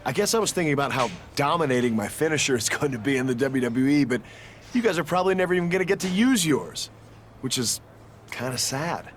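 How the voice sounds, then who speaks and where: An actor playing a smug, condescending young pro wrestler in a video game cutscene. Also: male voice, mid-range voice, lively intonation, fast talker, a little hiss or hum, natural voice. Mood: smug musing. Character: cocky young male pro wrestler taunting rivals.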